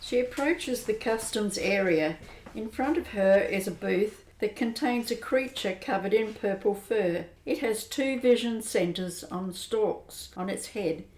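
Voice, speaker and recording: A woman narrating an amateur radio drama in a steady, descriptive way. Her voice sits at a middle pitch. The recording has a roomy echo around it. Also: measured pace.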